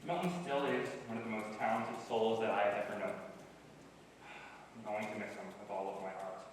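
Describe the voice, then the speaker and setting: Dry, halting old writer played by a man, loyal and wry, stage play, distant and noisy recording. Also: on the low side, fairly even intonation, natural voice. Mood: wry, affectionate. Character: wry, hesitant old male writer, loyal lifelong friend. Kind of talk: stage acting in a one-act play. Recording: echoey hall from far off, noisy.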